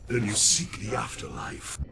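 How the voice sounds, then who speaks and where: An actor playing a menacing, contemptuous ninja warrior in a video game, with a gravelly growl. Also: male voice, fairly low pitch, sing-song, normal speed, whispered. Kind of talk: video game fight intro dialogue. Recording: distant voice under loud music.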